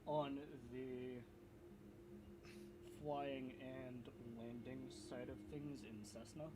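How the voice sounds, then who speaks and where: Animated man streaming with a noisy background. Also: mid-range voice, very slow. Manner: animated, reacts to chat. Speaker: streamer, voice actor. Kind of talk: casual stream chat.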